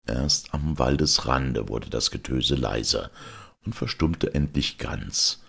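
A man narrating a fairy tale as a storyteller, theatrical and expressive. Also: rumbling low, takes it slowly, creaky, slightly rough. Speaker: storyteller, audiobook reader.

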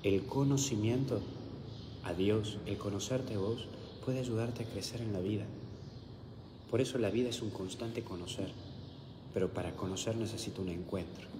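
Man, Argentine accent, priest, very low voice, solemn measured prayer, long hall echo. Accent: Argentine Spanish. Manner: solemn liturgical recitation, slow and measured in prayers. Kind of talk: homily and celebrating Mass. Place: small chapel with altar, indoors. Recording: roomy hall echo with background hiss.

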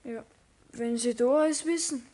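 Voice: medium pitch, moderate pace, warm tone, natural voice. A performer playing a timid, guilty teenage boy apprentice in a 1960s radio play, hesitant and stammering, with a Bavarian accent. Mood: nervous, guilty.

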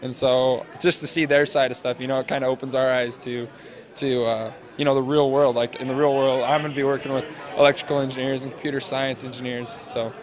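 A man in his twenties, a student interviewee, explaining a project off the cuff in casual, halting phrases into a handheld mic. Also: mid-range voice, little pitch movement. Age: 20s. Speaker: interviewee, senior engineering student presenting a project. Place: large glass-walled university hall or lobby. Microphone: handheld interview microphone held out to him.

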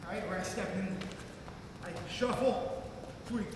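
A fairly high-voiced man teaches a wrestling clinic. He talks step by step and leans on "all right" between moves. He is caught by a camera far off in a big arena with a long, echoing reverb and clear hum behind him. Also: a little slow. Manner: instructive, repeats "all right" often. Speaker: wrestling coach. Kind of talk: clinic demonstration. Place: large gym arena with wrestling mat.

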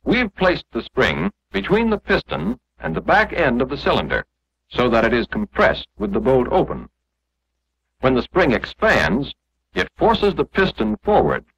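American guy narrating an old 40s army training film, lively up and down pitch, dull muffled sound, explains stuff step by step. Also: measured pace, gravelly, slightly rough. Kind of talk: 1940s instructional film narration, scripted.

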